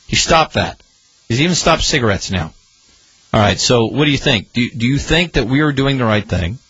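Sarcastic, animated talk radio man. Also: mid-range voice, moderate pace, husky, no room sound, dull audio. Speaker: guest radio talk host.

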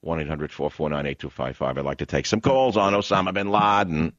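A man's voice: very deep voice, a little fast, a bit bright, no echo. A man hosting a call-in radio talk show, emphatic and combative, repeating lines for effect. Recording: dull radio broadcast sound.

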